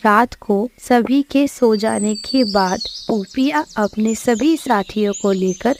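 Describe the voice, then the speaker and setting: Slow-paced woman narrating a children's story. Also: a little high, a little hiss or hum.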